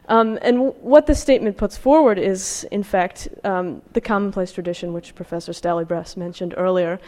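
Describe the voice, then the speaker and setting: A woman giving a scholarly academic talk, presenting research and reading from texts in a careful, somewhat halting way. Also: medium pitch, warm tone. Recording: long hall reverb.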